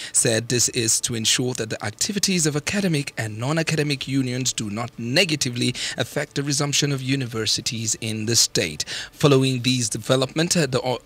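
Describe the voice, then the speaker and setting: A man's voice: mid-range voice, a bit bright. A man with a Nigerian accent, working as a radio news presenter and reading from a script.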